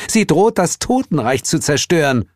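A man plays an irritable woman who rules the underworld in an audio story. The voice is pushed high and swings theatrically, with an exasperated, droning delivery, recorded close and dry. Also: sing-song, normal speed, a little mellow, no room sound. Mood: dramatic complaint.

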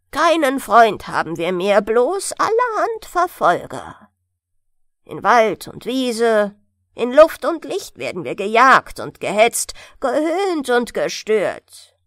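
Woman voicing a plaintive little mandrake man, slow and earnest. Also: on the high side, a bit bright, dry recording, studio quality, full character voice. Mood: sorrowful, plaintive. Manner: earnest, sorrowful, entreating. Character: small male mandrake sprite, gentle, plaintive, eager. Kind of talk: fairy-tale audiobook character.

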